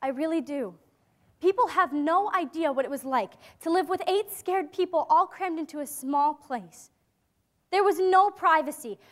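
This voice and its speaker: An earnest teenage girl in a stage drama, played by a young woman. Her voice is high and youthful, and she phrases her lines deliberately, holding on to hope as someone who has lived in hiding. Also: fairly bright tone. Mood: sorrowful recollection. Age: young adult. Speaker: actor in a staged reading.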